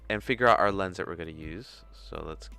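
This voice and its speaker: A man's voice: low voice, a little fast, vocal fry, pushed and bright, clean tone, dry recording. A man hosting a casual tutorial livestream, talking himself through each step informally as he works. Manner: informal, self-talking, murmuring through steps. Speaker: livestream host, animator. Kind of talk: casual screen-share tutorial livestream, thinking aloud. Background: music under the voice.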